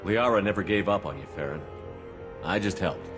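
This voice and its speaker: A man playing a steady, supportive spaceship commander in a video game, calm and direct. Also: low voice, brisk. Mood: reassuring. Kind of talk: scripted video game voice acting. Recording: long reverb, music behind.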